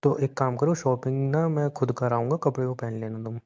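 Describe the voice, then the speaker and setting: A man's voice: medium pitch, brisk, a little mellow. A young man joking and teasing his girlfriend in a casual phone call. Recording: dull lo-fi call recording.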